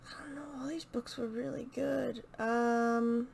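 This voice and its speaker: A woman's voice: a little high, steady tone, a little slow, vocal fry, a little mellow, a little hiss or hum. A woman chatting casually and unscripted as the host of a video channel, hesitant and thinking aloud as she goes. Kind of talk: casual unscripted book chat to camera.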